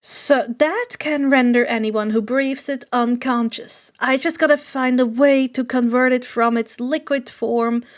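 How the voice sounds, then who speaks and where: A friendly teenage boy, played by a woman reading aloud. The voice is light and high, with an earnest, playful way of talking suited to an audiobook. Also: steady tone, a little slow, few pauses. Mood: hushed, earnest.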